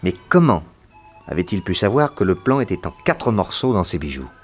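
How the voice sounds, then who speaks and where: Low-voiced, composed detective. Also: male voice, sing-song, slightly rough, soft background music, heavily acted. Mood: probing, composed. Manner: measured, deliberate questioning. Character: male private detective, composed, probing, authoritative. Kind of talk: French radio detective drama acting.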